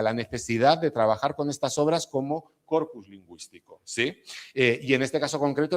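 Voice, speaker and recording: A middle-aged man from Spain delivers an academic lecture in a voice of ordinary middle pitch. His accent is Spanish, softened by Argentine features picked up over years. He explains step by step, often pausing to check that his students follow. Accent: Spanish with some Argentine features. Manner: explanatory, with frequent tag questions to the audience.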